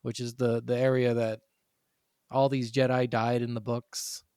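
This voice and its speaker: A man's voice: medium pitch, little pitch movement, measured pace, darkish tone, clean tone, no echo. A man with a mid-range voice talks casually as a podcast co-host about games. He rambles through explanations and swears freely. The sound is dry and close.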